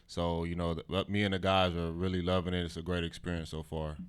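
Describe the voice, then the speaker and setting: Coach, man, very low flat voice, smooth tone, halting with fillers. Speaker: football coach. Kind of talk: casual interview answers.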